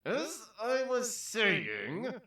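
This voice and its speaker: An actor playing a showy, sadistic game show host in an audio drama parody, with theatrical drawn-out announcing. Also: male voice, sits high, huge pitch swings, vocal fry, bright, forceful tone, distant mic, heavily acted. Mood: showy, teasing. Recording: roomy echo, off the mic.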